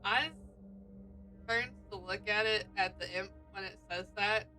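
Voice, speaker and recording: A woman's voice: mid-range voice, fairly even intonation, unhurried, pushed and bright. A woman playing a tabletop roleplaying game, casually describing what her character does. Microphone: online group call. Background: music.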